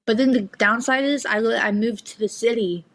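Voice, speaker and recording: A woman's voice: fairly high pitch, steady tone, a little fast. A young woman chatting as a guest on a video call, shy and nervous, hesitating with many fillers. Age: young adult. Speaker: chat guest. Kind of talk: casual video chat.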